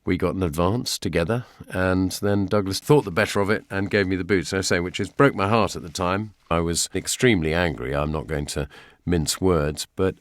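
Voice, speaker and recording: A man's voice: low voice, a little fast, few pauses, no echo, studio quality. A British man presenting a documentary, with narration and personal reminiscence.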